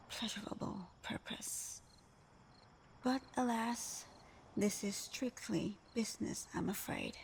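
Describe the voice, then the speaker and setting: Woman playing a slow, creaky, flirty supervillain. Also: medium pitch, darkish tone, slight background noise. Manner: sultry, playful drawl with teasing pauses. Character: flirty, teasing female supervillain, sly and confident. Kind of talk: ASMR roleplay acting.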